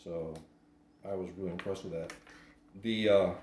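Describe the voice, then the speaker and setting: A man's voice: low-pitched, steady tone, normal speed, darkish tone. A man giving a casual, unscripted hands-on product unboxing in a plain-spoken, hesitant way. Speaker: product reviewer doing an unboxing. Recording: roomy echo, faint background noise.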